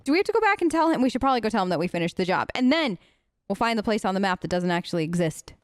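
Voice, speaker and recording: A woman's voice: mid-range voice, sing-song, racing, dry recording. A chatty woman doing role-play let's play commentary, answering game characters as if she were one of them. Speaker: gaming host, player.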